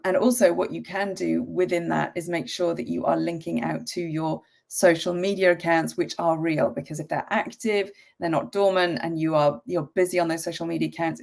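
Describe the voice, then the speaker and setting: A woman gives a chatty tutorial on a live stream, her voice croaky and gravelly from a cold. She speaks in a fairly low register and fills her talk with ums and the odd cough. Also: darkish tone. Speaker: website mentor hosting a live stream.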